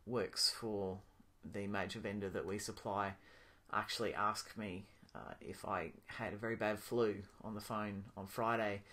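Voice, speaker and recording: A young man with a slightly low voice talks through a personal video diary. He thinks aloud, filling his pauses with ums and often restarting his sentences. The sound comes from a simple camera mic. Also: fairly even intonation, sharp tone. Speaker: vlogger.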